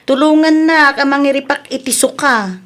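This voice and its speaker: A woman's voice: sits high, moderate pace, fairly bright tone. A woman playing a shrewd, penny-pinching wife who runs a small village store in an Ilocano comedy radio drama, with an Ilocano Filipino accent. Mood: scheming, bossy.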